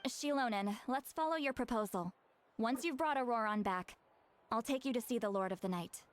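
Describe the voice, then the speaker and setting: A woman's voice: a little high, normal speed, close to an everyday voice. Actress playing a solemn young shaman woman. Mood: brisk, reassuring. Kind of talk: scripted video game voice acting.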